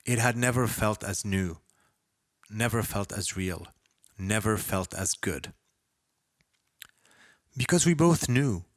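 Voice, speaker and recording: A man from Lebanon reading his own short story as a first-person narrator, with expressive, dramatic delivery. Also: fairly low pitch, slow, pauses often, dry recording, clean studio sound.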